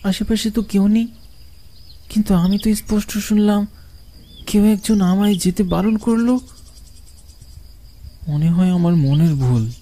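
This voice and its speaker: A woman's voice: medium pitch, unhurried, long pauses, warm tone, a little hiss or hum, lightly acted. A woman voicing a curious, defiant village boy who talks to himself in a cartoon horror story. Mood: puzzled.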